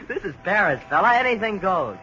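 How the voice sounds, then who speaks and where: A man's voice: sits high, very animated, normal speed, pushed and bright, exaggerated voice. An actor playing a cocky young tourist in a 1940s radio drama. He wisecracks in slangy, brash talk. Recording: lo-fi 1940s radio broadcast with faint hiss.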